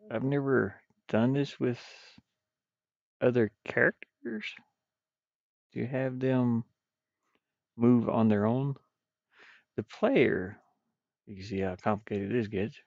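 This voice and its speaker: A man with a gravelly voice rambles and mutters to himself, thinking aloud casually as he streams his game development work. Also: medium pitch, darkish tone, no echo. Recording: dull, muffled, dry lo-fi microphone.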